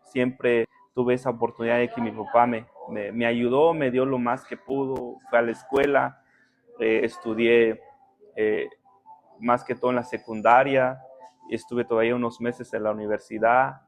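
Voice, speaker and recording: A young man from Guatemala whose first language is K'iche' speaks as a podcast guest. His voice sits at a middle pitch, and he talks humbly and thankfully, with many pauses. A light background hiss runs under the recording. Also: flat delivery. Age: young adult. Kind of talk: conversational interview.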